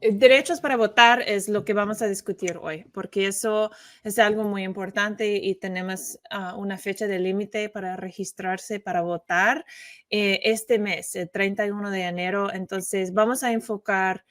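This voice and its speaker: A woman lawyer, a native English speaker, talking on a casual online talk show in halting Spanish that often slips into English. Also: mid-range voice, fairly even intonation, a bit bright. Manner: slow, hesitant Spanish with frequent switches to English. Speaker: immigration lawyer, show regular.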